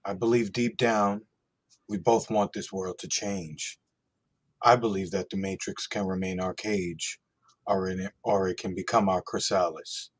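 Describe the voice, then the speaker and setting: A man playing a calm, resolute hacker hero in a radio play reading, speaking in a measured, earnest way with a husky voice. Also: sits low. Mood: earnest conviction. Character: calm, resolute freed hacker hero giving a defiant message. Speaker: podcast host.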